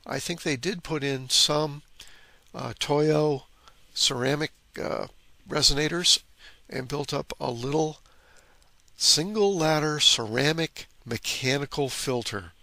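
A man giving a casual, colloquial technical explanation as host of a repair video, talking haltingly. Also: on the high side, vocal fry, sharp tone, no echo.

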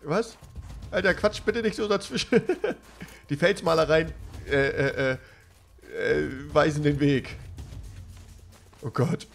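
A man's voice: on the high side, slow pace, vocal fry, pauses often, soft background music. A German man with a cold, chatting casually while commenting on gameplay, rambling and jokey. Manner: chatty, rambling, gets loud and jokey when panicking. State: has a cold. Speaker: let's play commentator.

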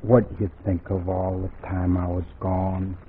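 Casting: devoted frontier hunter, lowish voice, period radio acting, thin phone-like broadcast sound. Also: male voice, level tone, measured pace, husky. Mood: earnest, relieved. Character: earnest frontier buffalo hunter, devoted suitor. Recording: tinny, noisy old radio broadcast.

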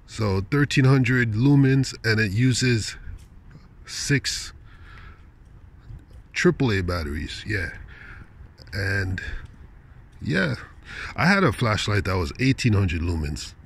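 A man's voice: lowish voice, husky. A man chatting in a laid-back, rambling, slangy way as he vlogs on a walk outdoors. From Canada. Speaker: vlogger. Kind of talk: casual rambling vlog chat. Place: outdoors, walking in wilderness at night.

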